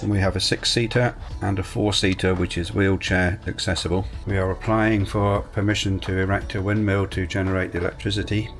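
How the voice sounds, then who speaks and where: A man with a very deep, flat voice describes his island's facilities slowly and plainly. Faint music plays in the background. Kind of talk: video statement.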